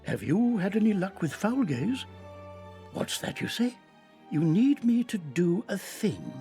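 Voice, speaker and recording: An actor playing a kindly, slightly fussy elderly wizard headmaster in a video game, creaky and dramatic. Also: male voice, high voice, sing-song, unhurried, warm tone, soft background music, full character voice. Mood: grave, kindly. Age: older. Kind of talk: video game character acting.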